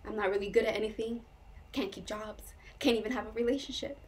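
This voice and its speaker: A woman's voice: mid-range voice, steady tone, quick pace, natural voice. A woman performing a stage monologue as a troubled young woman, intense, wounded and pleading with her lover. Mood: despairing, bitter.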